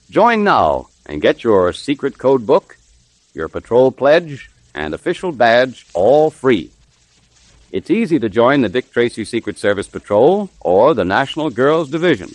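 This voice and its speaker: A man's voice: mid-range voice, very animated, normal speed, no room sound. A man announcing and advertising to children in emphatic, salesmanlike 1930s radio style. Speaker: announcer and cereal pitchman. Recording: vintage 1930s radio recording.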